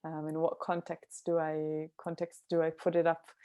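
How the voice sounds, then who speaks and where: A woman's voice: low-pitched, monotone, normal speed, warm tone, smooth, dry recording, clean studio sound. A woman from Israel speaking as an interview guest, thoughtful and searching, with frequent ums and restarts. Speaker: guest, cultural mentor. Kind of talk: reflective interview talk.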